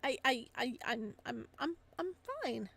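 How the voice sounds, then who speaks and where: A woman's voice: a little high, unhurried, gravelly, a bit bright, full character voice. Woman reading as a flustered, lovestruck young man. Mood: nervous. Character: shy, lovestruck college-age young man on summer vacation. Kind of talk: visual novel dialogue read aloud.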